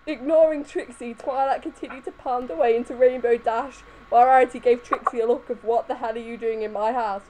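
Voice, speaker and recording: A woman with a fairly high voice reads fan fiction aloud as a comic drama. She is giggly in her delivery, and there is faint noise behind her. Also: steady tone, fairly quick, few pauses. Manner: giggly, often breaking into laughter. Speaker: reader.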